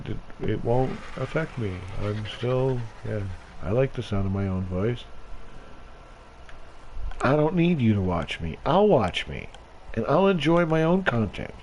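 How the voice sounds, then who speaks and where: A man's voice: mid-range voice, darkish tone. A middle-aged man rambling solo over gameplay, self-deprecating and given to muttered asides. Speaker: gaming streamer. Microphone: home gaming mic turned up. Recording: noisy home microphone with turned-up gain.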